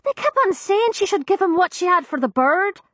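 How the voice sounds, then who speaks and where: A woman voicing a frightened young Cockney maid who babbles breathlessly in rough, working-class grammar. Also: very high voice, expressive, a little fast, fairly bright tone, dry recording, voice pushed up. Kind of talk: audiobook character voice.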